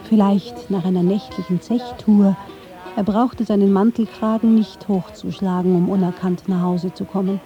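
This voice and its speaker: A woman reading scripted narration for a radio feature in a measured, literary way. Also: mid-range voice, dark tone, highs cut off. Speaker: documentary narrator.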